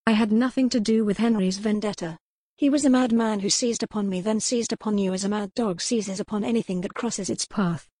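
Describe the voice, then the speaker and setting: A woman's voice: mid-range voice, fairly even intonation, a little fast, mellow, dry recording, studio quality, close to an everyday voice. A woman voicing a defiant young noble lady who pleads earnestly in formal old-fashioned speech. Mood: impassioned.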